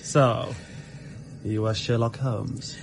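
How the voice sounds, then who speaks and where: An actor playing a haughty, captured gentleman criminal in a radio drama, speaking with formal, pompous courtesy. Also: mid-range voice, flat delivery, slow pace, gravelly, soft background music. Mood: cool, composed. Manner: pompous, coolly polite, formal.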